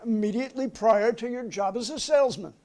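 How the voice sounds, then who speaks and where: Insistent American male committee counsel acted by a man, formal questioning in a high register for a staged hearing reading. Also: brisk, a little mellow. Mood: pressing. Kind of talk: dramatic reading of a hearing transcript.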